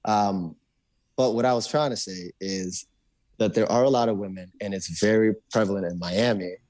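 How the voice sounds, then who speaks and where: A man's voice: lowish voice, darkish tone, no echo, rough recording. A young man from Argentina, a native Spanish speaker, talking casually as a podcast guest with lots of restarts and fillers. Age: young adult. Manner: fast, rambling, many restarts and fillers. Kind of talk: casual interview chat.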